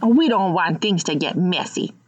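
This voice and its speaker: A woman's voice: fairly low pitch, animated, normal speed, breathy edge. A woman playing a courteous, hesitant small-town policewoman. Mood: polite, tentative.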